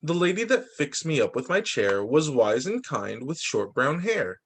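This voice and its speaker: A man's voice: a little high, big pitch swings, measured pace, gravelly, a bit bright, breathy edge, exaggerated voice. A man voicing an upbeat, hopeful young boy in a children's storybook, speaking in sing-song rhyme. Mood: excited, hopeful.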